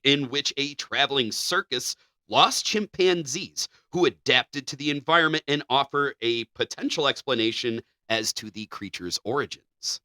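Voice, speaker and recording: A man co-hosting a podcast, chatting casually and reading passages aloud in a measured, even delivery. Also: fairly high pitch, no echo. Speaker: podcast co-host.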